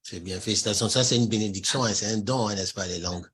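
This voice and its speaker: A man's voice: on the low side, quick pace, a bit bright, breathy edge. A man hosting an online ministry interview who opens with a prayer and often repeats himself with hein and n'est-ce pas. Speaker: host.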